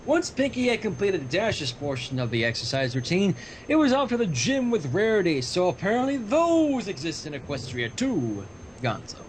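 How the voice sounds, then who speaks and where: Lively high-voiced man reading aloud. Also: moderate pace, a little hiss or hum, rough recording. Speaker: reader of fan fiction on a livestream. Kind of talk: reading a story aloud with casual asides.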